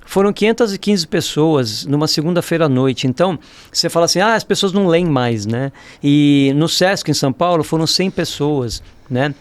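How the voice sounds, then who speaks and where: A man's voice: fairly high pitch, normal speed, no echo. A Brazilian man telling stories casually as a podcast guest, informal and often ending phrases with tag questions. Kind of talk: casual conversational storytelling.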